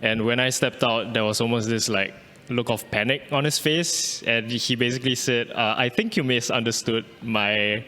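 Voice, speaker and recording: Young man, Malay native, artist, hesitant and thoughtful, mid pitch, long reverb. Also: fairly even intonation, moderate pace, sharp tone. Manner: thoughtful, with frequent restarts and fillers. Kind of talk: reflective interview answer. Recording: reverberant hall.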